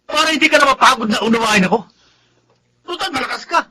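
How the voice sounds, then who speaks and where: An actor playing a troubled, defensive unfaithful husband in a Tagalog radio drama. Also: male voice, extremely high pitch, quick pace, sharp tone, slight background noise, heavily acted, low-quality recording. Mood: bitter.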